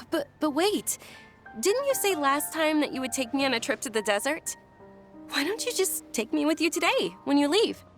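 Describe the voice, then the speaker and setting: A woman's voice: high-pitched, sing-song, normal speed, faint music behind. A woman playing a sweet, insistent young noblewoman in an anime game, speaking politely and earnestly, with a pleading edge.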